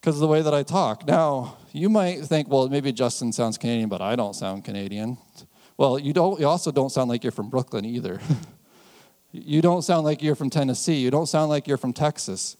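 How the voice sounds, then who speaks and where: An American man preaching a sermon in a conversational way, chuckling often and slowing down to stress key phrases. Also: medium pitch, animated, mellow. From United States. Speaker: pastor.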